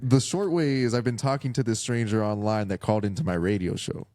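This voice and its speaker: A man's voice: lowish voice, fairly quick, mellow. A man in his early twenties, a guest telling stories in a casual chat, self-deprecating and swearing freely. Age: 20s.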